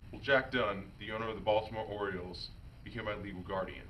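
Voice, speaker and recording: A man's voice: on the low side, fairly even intonation, fast, highs cut off, lightly acted. Distant-miked man playing a courteous baseball star. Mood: courteous, matter-of-fact. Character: famous baseball slugger, courteous man giving a TV interview. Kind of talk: amateur acted interview in a mock newsreel. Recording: distant mic with faint noise.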